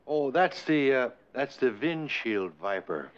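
An actor playing an earnest, easily flustered farmer husband who explains things with patient exasperation, in 1960s sitcom style. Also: male voice, medium pitch, very animated, measured pace, slight background noise. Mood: explaining. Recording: dull lo-fi 1960s TV soundtrack.

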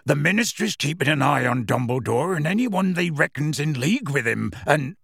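Huge rustic half-giant, gravelly, husky, plain-spoken, warm-hearted, audiobook character. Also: male voice, fairly high pitch, lively intonation, no echo, clean studio sound, exaggerated voice. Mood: gruff. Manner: folksy, ungrammatical, unhurried storytelling.

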